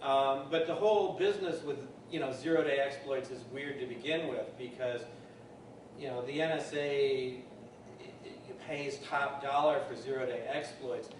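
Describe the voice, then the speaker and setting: A man's voice: mid-range voice, moderate pace. A man giving a talk at a university speaks in a loose, thinking-aloud way as he answers questions from the audience. He is recorded from far off in an echoey lecture hall, with noise underneath.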